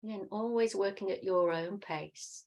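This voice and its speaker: A middle-aged woman leading a guided meditation as a teacher, speaking in a calm, soothing, unhurried way with pauses between short instructions. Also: mid-range voice.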